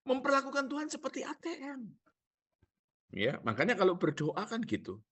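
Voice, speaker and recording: Male pastor, Javanese accent, lively preaching with jokes and questions, husky tone. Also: extremely high pitch, moderate pace. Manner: animated, rhetorical questions and jokes to the congregation. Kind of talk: sermon.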